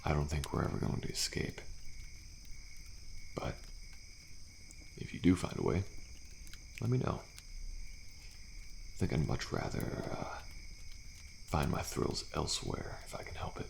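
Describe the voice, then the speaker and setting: A jaded, thrill-seeking survivor played by a man rumbles in a very deep, heavily gravelled voice. He drawls slowly and lazily, pausing and sighing, in an intimate audio roleplay. Crickets and faint campfire noise sit behind him. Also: sing-song, full character voice. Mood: wry, fatalistic. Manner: slow, drawling, laid-back, with pauses and sighs. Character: weary, thrill-seeking male survivor of endless killer trials. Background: campfire ambience with crickets.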